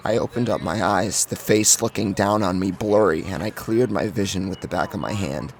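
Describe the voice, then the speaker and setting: Casting: male horror narrator, deep register with vocal fry, intense and emotive first-person storytelling. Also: normal speed, slight background noise. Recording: music behind the voice.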